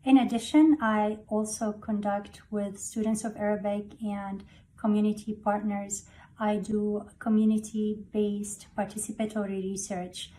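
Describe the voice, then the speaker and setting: A woman professor explains her research in a steady, precise way. Her voice is mellow and mid-pitched. A faint room noise sits behind her. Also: measured pace. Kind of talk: faculty research introduction. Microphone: video call webcam.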